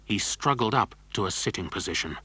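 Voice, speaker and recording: A man's voice: fairly low pitch, lively intonation, fairly quick, bright, forceful tone, slightly rough, dry recording. A man narrating a scripted children's adventure story.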